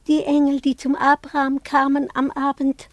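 Actress as high-pitched, plaintive old peasant woman. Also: completely flat delivery, normal speed, dry recording, lightly acted. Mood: proud, wistful. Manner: lamenting, sing-song, folk-like phrasing.

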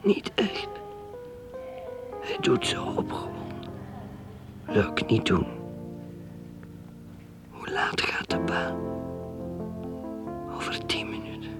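An actress playing a troubled woman drinker in a radio drama, thinking aloud in halting, intense, breathy whispers. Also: a bass voice, big pitch swings, over music, heavily acted. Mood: scornful, furtive. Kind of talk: radio drama interior monologue.

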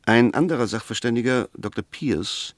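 An actor playing a shrewd, composed defence lawyer in a 1960s German radio play, arguing in a measured, precise manner. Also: male voice, mid-range voice, dry recording, clean studio sound, close to an everyday voice. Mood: methodical, sceptical.

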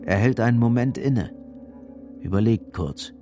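A man's voice: mid-range voice, measured pace. A man narrating a scripted radio drama, with music behind his voice.

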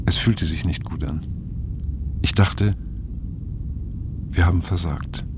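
An actor playing a brooding, disillusioned narrator in a radio drama, speaking reflectively and somberly with a gravelly voice. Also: male voice, sits low, takes it slowly, background noise. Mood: troubled, resigned. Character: brooding, disillusioned male narrator recalling troubling times. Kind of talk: scripted first-person radio drama narration.

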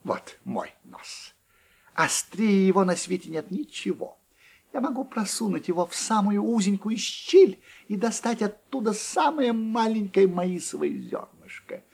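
A man voicing a boastful female mouse with a sharp nose, husky and excitable. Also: high-pitched, measured pace, pitched above the performer's own voice, heavily acted.

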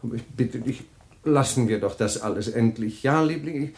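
A man's voice: medium pitch, moderate pace, gravelly, darkish tone, lightly acted. An actor in a German radio drama playing a wealthy, evasive husband who soothes and dismisses his wife. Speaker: husband James.

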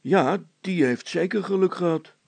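A man's voice: high-pitched, moderate pace, gravelly, slightly rough, no echo, pitched above the performer's own voice, exaggerated voice. A man voicing a practical, cautious middle-aged father and handyman in a storybook, talking in a hearty, colloquial, plain-spoken way. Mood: concerned, firm.

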